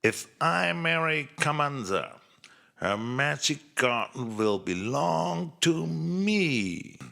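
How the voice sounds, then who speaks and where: A man's voice: on the high side, sing-song, slow pace, vocal fry, bright, forceful tone, pitched above the performer's own voice, full character voice. A man voicing a wicked, dim and greedy male desert villain in a storybook, with a scheming manner.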